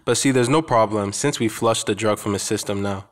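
An actor playing a calm, reassuring hospital doctor, patiently explaining in a radio drama. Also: male voice, lowish voice, fairly quick, slight background noise, natural voice.

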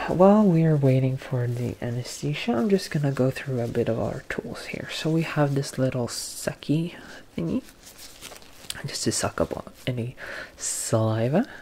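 Hushed young man, slow ASMR roleplay. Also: mid-range voice, expressive, slight background noise. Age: young adult. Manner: hushed, halting, gently reassuring. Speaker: ASMR performer playing a dentist. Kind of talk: ASMR roleplay, soft-spoken and whispered. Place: small plain room indoors. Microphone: close to the mic, facing camera, through a face mask.

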